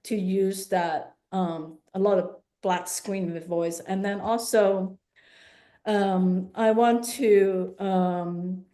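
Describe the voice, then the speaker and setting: A woman's voice: medium pitch, steady tone, slow, warm tone. A woman in her fifties from Hong Kong answering questions in a conversational artist talk, thinking aloud and correcting herself as she goes. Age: 50s. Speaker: visual artist giving an artist talk.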